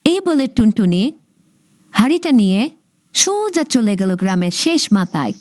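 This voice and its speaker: High-pitched, lively woman telling children's stories. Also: a little slow, background noise. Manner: expressive storybook delivery. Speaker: storyteller. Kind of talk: scripted children's story narration.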